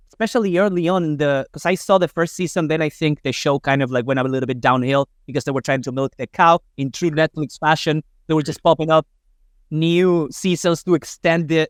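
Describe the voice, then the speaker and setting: A man's voice: a little high, no room sound, clean studio sound. A man from Spain hosting a podcast in English, rambling with many fillers and hesitations. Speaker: podcast host. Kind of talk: casual interview.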